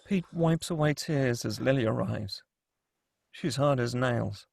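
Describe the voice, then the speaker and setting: Male table-read narrator for screenplay directions: slightly high, fry in the voice, very dry recording. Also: measured pace, a little mellow, no echo.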